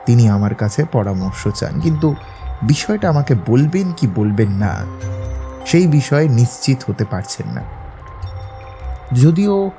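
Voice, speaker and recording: An actor playing a shrewd, self-assured male detective in an audio drama, speaking in a measured, analytical way. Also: mid-range voice, mellow. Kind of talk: Bengali audio drama acting.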